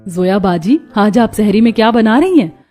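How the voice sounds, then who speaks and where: A woman voicing friendly, chatty young housewives in a cartoon story, with expressive neighbourly talk. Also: mid-range voice, moderate pace, soft background music, natural voice. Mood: cheerful, chatty.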